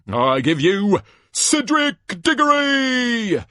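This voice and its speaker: A man voicing a flamboyant, booming tournament announcer in an audiobook drama, theatrical and showy with drawn-out words. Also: very animated, sharp tone, no room sound, full character voice. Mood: excited commentary.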